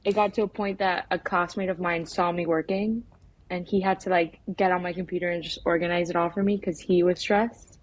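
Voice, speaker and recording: A young woman from the United States answering interview questions as a documentary filmmaker, in a casual, halting, informal way full of filler words. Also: fairly low pitch, steady tone, rough recording. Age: young adult.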